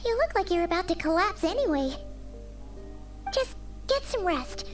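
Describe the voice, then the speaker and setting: A woman voicing a small, childlike fairy companion in a video game, excitable and caring, with a squeaky voice and music behind her. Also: normal speed. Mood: concerned, reassuring. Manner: refers to self in third person, animated.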